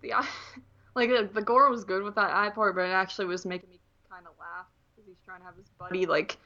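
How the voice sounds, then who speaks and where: A woman's voice: medium pitch, steady tone, fairly quick, sharp tone, dull audio, soft background music. A woman chatting casually as a podcast co-host, rambling informally about films. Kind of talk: casual chat reviewing films.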